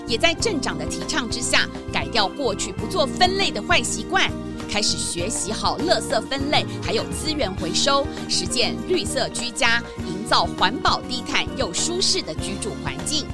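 A woman tells a children's story in a lively, sing-song voice that sits a little high. Her delivery is warm and gentle for young listeners. Loud children's music plays underneath. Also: normal speed. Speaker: narrator.

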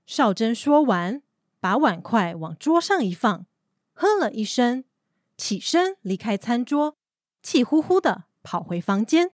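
A woman narrating a family radio drama in a calm, measured way. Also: on the high side, no room sound, studio quality. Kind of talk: scripted storytelling narration.

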